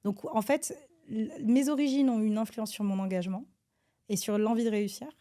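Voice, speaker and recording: A woman from France, a government advisor, answers interview questions in a hesitant, halting way with many filler sounds and restarts. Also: mid-range voice, steady tone, dark tone. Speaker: government advisor, podcast guest.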